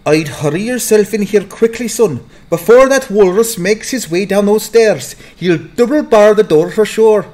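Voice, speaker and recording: A man's voice: high voice, moderate pace, hardly any pauses, a little mellow, a little hiss or hum, close to an everyday voice. A man voicing a slick, fatherly sports agent and hustler in a dramatized fantasy audiobook, genial and wheedling. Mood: genial, smooth. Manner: genial, wheedling, calls listeners "son".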